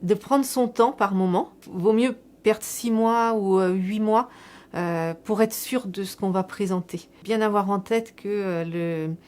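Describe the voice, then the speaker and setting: Woman explaining in a measured way. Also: mid-range voice, darkish tone. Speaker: local elected official presenting a public project. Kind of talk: semi-prepared explanatory interview testimony.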